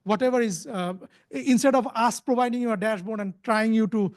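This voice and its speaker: Male conference presenter, strikingly high voice, stop-start delivery with many repeated words. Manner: halting, repeats words and restarts phrases often. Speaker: conference speaker, company founder.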